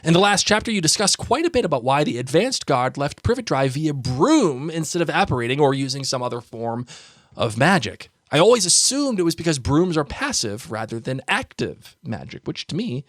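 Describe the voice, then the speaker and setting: A man's voice: fairly high pitch, big pitch swings, normal speed, no echo. Male podcast host, American, bantering and casual, swinging intonation, dry recording. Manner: playful, bantering. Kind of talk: casual chat and reading listener emails aloud.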